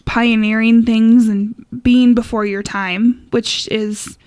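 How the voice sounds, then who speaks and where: A woman's voice: fairly high pitch, little pitch movement, takes it slowly, a little mellow, smooth, no room sound. A woman hosting a podcast in casual, reflective conversation. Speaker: podcast host. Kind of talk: casual chat.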